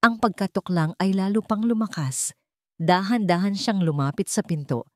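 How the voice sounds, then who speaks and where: A woman's voice: medium pitch, normal speed, darkish tone, dry recording. A woman with a Filipino accent narrating a scripted story in a dramatic style.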